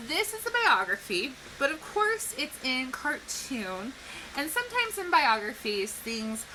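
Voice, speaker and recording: A woman with a bright, fairly high voice speaks as a teacher to young children. Her pitch moves in lively swings as she explains and reads aloud clearly. There is slight noise behind her. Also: rough recording. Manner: animated, clearly explaining, posing questions to young listeners. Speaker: teacher reading a picture book to children.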